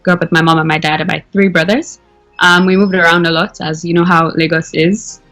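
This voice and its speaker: A woman's voice: fairly low pitch, fairly even intonation, brisk, fairly bright tone, faint music behind. A young woman from Nigeria, talking casually as a caller, with lots of fillers and self-corrections. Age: young adult. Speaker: anonymous caller. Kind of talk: casual interview.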